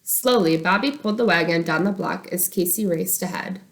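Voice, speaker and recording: A woman reading a children's chapter book aloud as an expressive narrator. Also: on the low side, moderate pace. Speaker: storybook reader.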